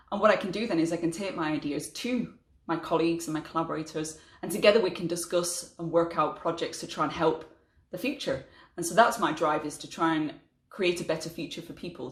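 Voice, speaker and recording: A woman with a low, grounded voice talks steadily about her research. Her manner is earnest and explanatory, like a prepared piece to camera. The sound is roomy, with a clear echo around her. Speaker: chemistry research fellow. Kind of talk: scripted talk to camera.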